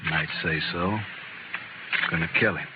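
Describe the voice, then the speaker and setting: An actor playing a cool-headed, sardonic gunfighter with terse irony, in 1950s radio western style. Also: male voice, deep voice, unhurried. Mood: menacing. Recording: dull, very noisy old radio recording.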